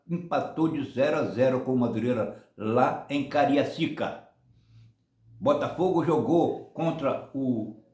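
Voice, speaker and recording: A man's voice: medium pitch, darkish tone. Rambling older man in an echoey room. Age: 70s. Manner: rambling, many restarts and tag "tá?". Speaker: football channel host. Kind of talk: casual rambling commentary.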